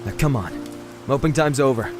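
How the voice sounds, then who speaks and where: An actor playing a blunt, loyal, impatient young brother in an audio drama, consoling someone in a casual, awkward way. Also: male voice, medium pitch, animated, fairly quick, close to an everyday voice. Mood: gruffly consoling. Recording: loud music and rain behind. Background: music and falling rain.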